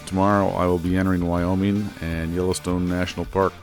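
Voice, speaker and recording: A man recording a travel vlog voiceover, telling the day's ride in a plain, relaxed way while his voice is a little scratchy. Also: low voice, brisk, faint music behind. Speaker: motorcycle travel vlogger. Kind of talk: voiceover narration.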